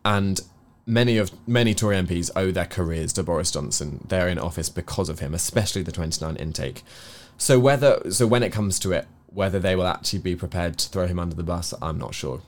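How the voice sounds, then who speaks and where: A man's voice: low voice, fairly quick. A man with an English accent co-hosting a news review, explaining his opinions in an earnest, conversational way with careful qualifications. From England. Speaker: co-host of a news review show. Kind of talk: conversational political discussion.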